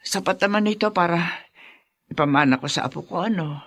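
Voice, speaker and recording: A woman's voice: lowish voice, brisk, no echo, clean studio sound. A woman playing a proud, protective elderly grandmother in a Filipino radio drama, speaking with a Filipino accent. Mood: wistful, stubborn. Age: older.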